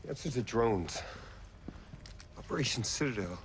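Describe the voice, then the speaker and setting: An actor playing an anxious, remorseful tech company founder in a video game cutscene, intense and troubled. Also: male voice, mid-range voice, animated, moderate pace, slight background noise, close to an everyday voice. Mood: urgent.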